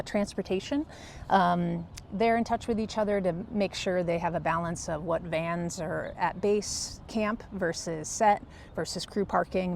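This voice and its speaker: A soft-spoken, low-key woman explaining her work casually in a vlog, pausing hesitantly as she goes. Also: vocal fry, slight background noise. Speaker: film crew first assistant director.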